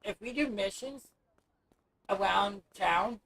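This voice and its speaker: A woman streaming a video game and chatting casually, her voice scratchy and hoarse, rambling with many restarts. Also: medium pitch, slow, a bit bright. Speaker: gaming livestreamer. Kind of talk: casual chat while playing.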